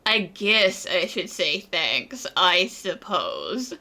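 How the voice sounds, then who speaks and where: Bright-voiced sarcastic woman gamer. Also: fairly low pitch, sing-song, very slow. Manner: sarcastic, playful. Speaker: gaming streamer. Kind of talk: casual reaction commentary over gameplay.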